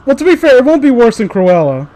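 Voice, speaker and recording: A man with a very high voice hosts a casual group chat on a stream. He rambles excitably and circles around his thoughts as he goes. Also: fast talker. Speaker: host of a live group stream.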